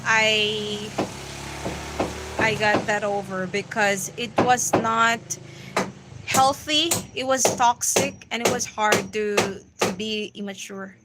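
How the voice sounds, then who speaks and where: Woman in her twenties, Filipino accent, slow relaxed pace, chatty livestream host, steady hiss behind. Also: medium pitch. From Philippines. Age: 20s. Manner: chatty, reads viewer questions aloud, frequent restarts. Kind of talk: casual live Q&A reading chat. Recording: noisy home livestream.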